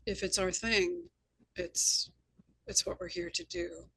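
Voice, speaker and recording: A woman with a low, gravelly voice talks as an interview host. She tells reflective anecdotes earnestly, stressing key phrases, with a husky grain to her delivery. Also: steady tone, takes it slowly, fairly bright tone, rough recording. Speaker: host and novelist.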